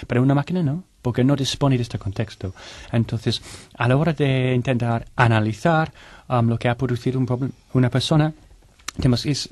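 A man's voice: medium pitch, darkish tone, no room sound. A man who directs a technology innovation centre, explaining at a round-table discussion around a studio table, often restarting his words. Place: studio table with other guests.

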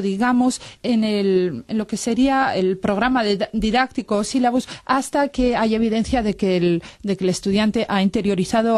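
A woman from a language research group, speaking at a round-table discussion in a measured way with many fillers. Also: mid-range voice, darkish tone, dry recording. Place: studio table with other guests.

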